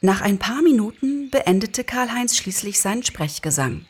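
Woman reading a story out loud, kinda husky mid voice, expressive audiobook narrator. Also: medium pitch, moderate pace.

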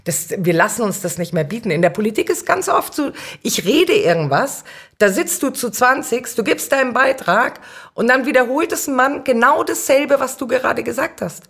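A woman's voice: fairly high pitch, expressive, moderate pace, gravelly, a bit bright, breathy edge. A middle-aged woman speaking as a guest in a casual conversational interview, with an earnest and emphatic way of talking. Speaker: podcast guest, cultural manager and councillor.

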